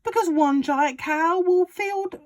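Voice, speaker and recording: A woman reading aloud and voicing an excitable, bossy father who shouts his big plans. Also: slow. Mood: overexcited. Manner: shouting, overexcited, booming. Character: excitable bossy father, middle-aged man.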